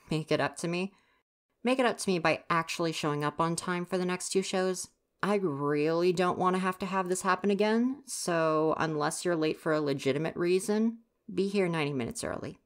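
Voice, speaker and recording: A young woman acts out a theater stagehand in an intimate ASMR roleplay, pitched low. The character is grumpy and sarcastic, teasing and gossipy, yet quietly caring. The delivery stays casual and conversational, as if talking to one listener. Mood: brisk, grudging. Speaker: roleplay voice performer.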